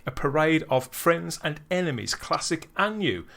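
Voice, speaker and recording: Bright, upbeat male podcast host. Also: fairly high pitch, big pitch swings, creaky. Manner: upbeat, theatrical links with quick laughs. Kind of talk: casual podcast chat.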